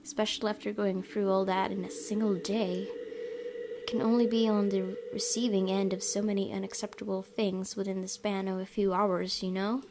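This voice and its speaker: A woman hosting a gaming video, reading visual novel narration aloud in an expressive storytelling way. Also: medium pitch, normal speed. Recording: clear background noise.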